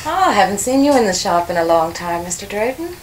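A woman playing a pious, doom-saying older shopkeeper in an audio drama, ominous and sinking into hushed tones. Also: mid-range voice, very animated, quick pace, background noise, natural voice. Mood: syrupy. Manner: ominous, drops into hushed whispers.

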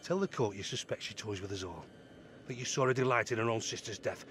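A man's voice: lowish voice, quick pace, background noise, natural voice. An actor playing a cautioning colonial man, speaking with earnest formality in period drama dialogue. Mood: warning, imploring. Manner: formal, earnest, archaic phrasing. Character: grave, cautioning colonial man, a loyal friend.

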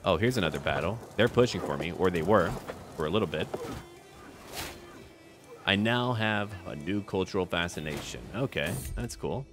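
Man, low-pitched voice, strategy game commentary, in-character ruler, short clipped thinking-aloud remarks, faint music behind. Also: lively intonation, normal speed, long pauses. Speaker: gaming video host.

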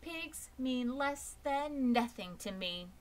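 A woman's voice: sits high, expressive, slow pace, voice pushed up. A woman reading aloud and voicing a snooty young lamb who answers primly and dismissively. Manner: prim, dismissive.

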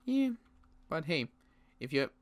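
A young man playing a protective survivor in an in-character roleplay, talking in a halting way with frequent restarts. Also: on the high side, sing-song. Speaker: roleplay series player.

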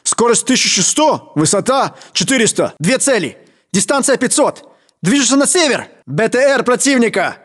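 Tense soldier, lively pitch. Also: male voice, normal speed, heavily acted. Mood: focused, clipped. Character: tense Russian soldier calling out targets and orders. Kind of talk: military game voice lines, clipped reports.

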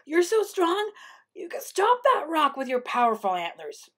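A woman voicing a selfish, whiny male coyote in a storybook tale, with loud, dramatic pleading and yelping. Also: medium pitch, animated, normal speed, vocal fry, slightly rough, exaggerated voice. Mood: pleading, flattering.

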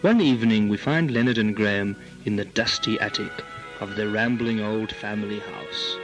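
A man's voice: on the low side, moderate pace, loud music behind the voice, lightly acted, low-quality recording. A man narrating a comic audio drama as a storyteller.

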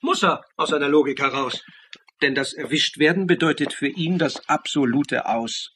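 A man's voice: on the high side, moderate pace, sharp tone, a little hiss or hum. An actor in a radio crime play voicing a clever, persistent sleuth who lays out his theory in an assured, needling way. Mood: assured, explanatory. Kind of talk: German radio play dialogue.

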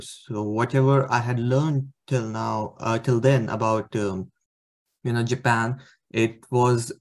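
A man's voice: mid-range voice, darkish tone. A young man from India, a student giving a slide presentation over a video call, hesitant with many fillers. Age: young adult. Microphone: webcam on video call.

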